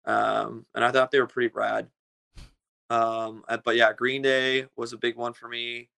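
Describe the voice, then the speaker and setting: Halting, gravelly-voiced man. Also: mid-range voice, flat delivery, bright, forceful tone. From Russia. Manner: hesitant, many fillers and restarts. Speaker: guest musician. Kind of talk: casual interview answers.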